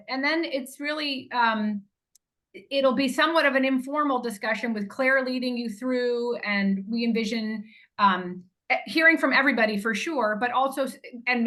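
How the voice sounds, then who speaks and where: A woman's voice: fairly high pitch, a bit bright. A woman hosting a planning meeting over a video call, talking in a chatty way with restarts and fillers. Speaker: event organizer.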